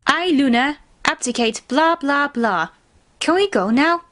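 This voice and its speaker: A stern royal pony sister played by a woman in a cartoon fan drama, with a high, lively voice. She declares things regally, with bite and impatience. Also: unhurried. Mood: impatient. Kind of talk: fan fiction audio drama acting.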